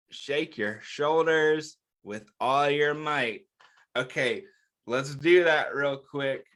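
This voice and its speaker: Slow-paced, higher-voiced man reading to children. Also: fairly bright tone. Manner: talks directly to young listeners, asking questions and answering them; encouraging, simple child-directed phrasing. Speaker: children's storytime host. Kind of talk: interactive picture-book read-aloud for young children.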